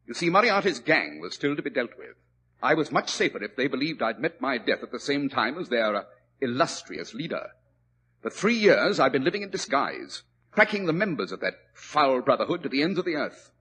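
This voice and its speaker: An actor playing a brilliant, composed male detective in a 1940s radio drama, speaking in a dry, measured, teasing way. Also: medium pitch, big pitch swings, bright, forceful tone, slightly rough, heavily acted. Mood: grave. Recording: old lo-fi radio broadcast.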